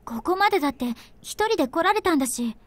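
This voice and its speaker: A woman's voice: extremely high pitch, expressive, rapid-fire, no room sound. A woman voicing a capable, headstrong young woman in a visual novel, with a casual, questioning manner. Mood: insistent. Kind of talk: Japanese visual novel voice acting.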